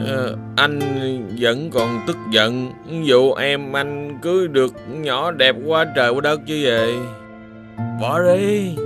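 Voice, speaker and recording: An actor playing a fawning, chummy hanger-on who flatters a rich man in an animated fairy tale. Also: on the high side. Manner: obsequious, chummy. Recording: plucked-string music behind the voice.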